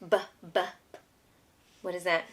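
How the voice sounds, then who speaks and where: A woman's voice: measured pace, a bit bright. A woman, a homeschooling mother, reading a picture book aloud to her young child with patient, encouraging, simple questions.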